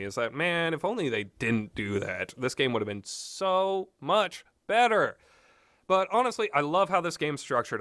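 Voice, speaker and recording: Guy doing rambly game review commentary, average pitch, stumbles and repeats himself, opinionated, very dry sound no room echo. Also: fairly bright tone. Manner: informal, halting, repeats himself mid-sentence.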